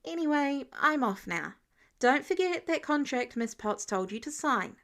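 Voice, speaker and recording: A woman plays a teenage boy working as an intern in a narrated story. The voice sits fairly high. He is cheeky yet earnest, with a touch of youthful awkwardness. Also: big pitch swings, a little slow, a bit bright, full character voice. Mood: breezy.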